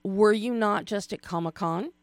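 A woman's voice: medium pitch, moderate pace, dry recording. A middle-aged woman hosting a radio interview, playful and chatty, with filler words and side remarks. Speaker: radio host.

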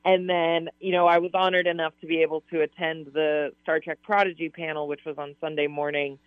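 A slightly hoarse woman talking eagerly over a tinny, phone-like line. She laughs often and strings long, rambling sentences together. The sound is dry and close, with no room around it. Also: deep voice, flat delivery, brisk, dry recording. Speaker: science advisor and author, interview guest. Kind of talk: casual radio interview answers.

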